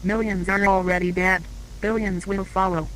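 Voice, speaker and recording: A man's voice: high voice, little pitch movement, normal speed, a bit bright, slight background noise, poor audio. A man playing an outraged, accusatory interrogator in a horror drama. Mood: outraged, accusing.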